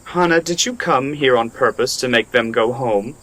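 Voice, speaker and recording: A man's voice: mid-range voice, normal speed, fairly bright tone, natural voice. A man playing an easygoing, teasing adult writer with a breezy manner in a radio drama. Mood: breezy, playful. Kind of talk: amateur anime-style radio drama acting.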